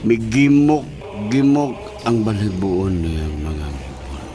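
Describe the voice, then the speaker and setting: Guy acting a dazed man in a trance for horror audio drama, really low croaky voice, slow n drowsy, music behind. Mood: dazed, entranced. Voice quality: low, gravelly. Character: entranced man, Dominic, slowly describing creeping visions. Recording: music bed under the voice.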